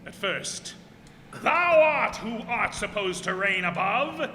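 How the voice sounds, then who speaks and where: A man's voice: high-pitched, animated, slow pace, bright, forceful tone, exaggerated voice. A man playing a proud, mad, tyrannical sea captain who defies heaven in grand archaic declamation, in old-time radio drama style. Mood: menacing. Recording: hall reverb, faint background noise.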